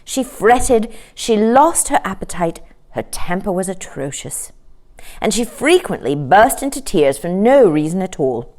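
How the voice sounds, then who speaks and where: A woman storyteller narrating a children's story in an expressive read-aloud style. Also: medium pitch, unhurried.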